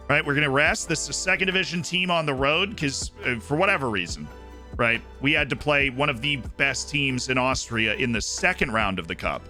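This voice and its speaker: A man streaming a football management game, commenting excitedly on matches and chatting directly with his viewers. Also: mid-range voice. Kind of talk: casual live-stream commentary to chat.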